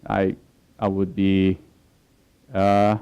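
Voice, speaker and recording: A man whose first language is Portuguese gives a technical conference talk in a relaxed way, with many fillers and restarts. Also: low voice, very slow, clean tone. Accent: Portuguese-speaking. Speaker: conference speaker.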